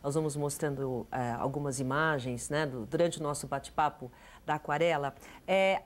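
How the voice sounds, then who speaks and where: Woman interviewer, lower voice, curious and lively. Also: moderate pace. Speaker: TV interview host. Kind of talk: broadcast interview.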